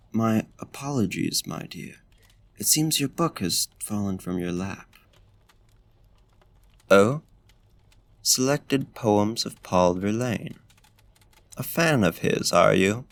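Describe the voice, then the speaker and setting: Courtly, seductive male vampire played by a man for ASMR roleplay: low register, unhurried, genteel and literary. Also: heavily acted. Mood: teasing, courteous. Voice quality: smooth, deep. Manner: formal, genteel, theatrical phrasing. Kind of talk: ASMR roleplay, intimate talk and poetry reading.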